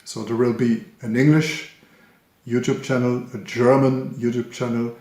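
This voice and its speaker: A man, a Dutch speaker, making a casual announcement to the viewers of his trading channel, with many pauses and fillers. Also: mid-range voice, little pitch movement, darkish tone, reverberant. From Flemish Belgium. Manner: hesitant, frequent "uh" and "um", self-corrections. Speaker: trading YouTuber.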